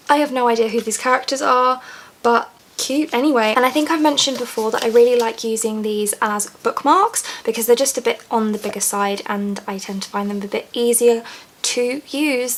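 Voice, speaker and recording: A woman's voice: a little high, slight background noise. A young woman chatting enthusiastically through a book box unboxing in a small room, reading blurbs aloud. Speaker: book vlogger. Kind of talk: casual unboxing chat. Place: small room in front of bookshelves.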